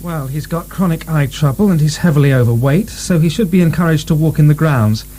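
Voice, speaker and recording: Radio drama: male actor as precise period physician, explanatory and earnest, soft-toned, faint broadcast hum. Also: a little high, fairly quick, lightly acted, rough recording. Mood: speculating. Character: earnest, clinical male doctor in nineteenth-century asylum.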